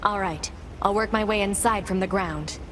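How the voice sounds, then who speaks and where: A woman's voice: medium pitch, normal speed, close to an everyday voice. An actress playing a cool, stoic female android soldier in a video game, terse and businesslike. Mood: cool, curt. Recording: loud music over the voice.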